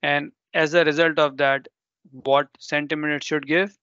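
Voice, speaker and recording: A man's voice: fairly high pitch, level tone, normal speed, pushed and bright, clean tone, no echo, highs cut off. A man whose first language is Urdu, presenting a live technical demo and explaining step by step with ums and self-corrections.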